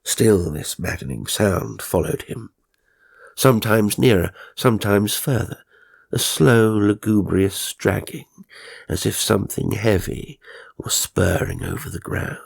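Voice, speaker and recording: Gravelly, low-voiced man narrating horror. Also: dry recording. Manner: measured, ominous, theatrical. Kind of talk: dramatic scripted horror narration. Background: faint music.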